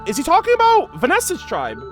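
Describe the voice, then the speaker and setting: High voiced gamer guy reacting to and reading game lore, slangy and excitable, some music behind him. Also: brisk. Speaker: gaming content creator, host. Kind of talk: reaction commentary with read-aloud game lore.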